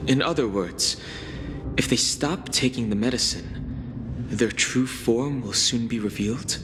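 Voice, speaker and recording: A man's voice: medium pitch, a little slow, background noise, close to an everyday voice. A man voicing an attentive young companion in a video game, speaking in a careful, questioning way. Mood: thoughtful, inquiring. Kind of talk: scripted video game dialogue. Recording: long reverb, clear music underneath. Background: game music.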